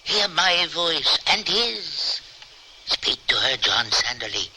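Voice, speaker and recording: An actor playing a solemn spirit medium doctor leading a seance, speaking slowly and formally in old-time radio drama style. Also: male voice, on the high side, very animated, sharp tone, a little hiss or hum, full character voice. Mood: solemn, entranced. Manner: slow, incantatory, formal.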